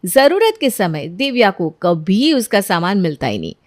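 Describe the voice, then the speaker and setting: A woman narrating a scripted family story in an even storytelling manner. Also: medium pitch, moderate pace, no echo, studio quality.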